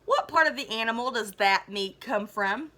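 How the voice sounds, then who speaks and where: An innocent young hippie boy from a storybook voiced by a woman reader. His voice is very high and bright. He is earnest and puzzled, stating things matter-of-factly. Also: lively intonation, normal speed. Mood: curious.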